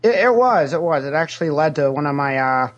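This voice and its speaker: A man's voice: on the high side, animated, fairly quick, muffled recording, close to an everyday voice. A man playing a cheerfully shameless, greedy Ferengi alien money investigator, talking in a hesitant, rambling, matter-of-fact way in an improvised interview. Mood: shamelessly mercenary. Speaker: interview guest. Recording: internet call connection.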